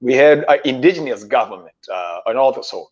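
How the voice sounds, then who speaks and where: Measured man in his fifties. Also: medium pitch, animated. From Kenya. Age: 50s. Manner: measured, halting, with frequent "uh" pauses. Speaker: guest chef. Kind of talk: storytelling in a stream interview. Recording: dull lo-fi stream audio.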